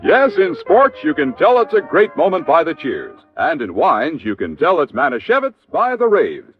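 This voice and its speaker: High-pitched, animated male radio pitchman. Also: normal speed, husky, faint music behind. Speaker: commercial pitchman. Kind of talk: excited 1950s radio advertisement, sportscaster manner. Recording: muffled vintage radio recording.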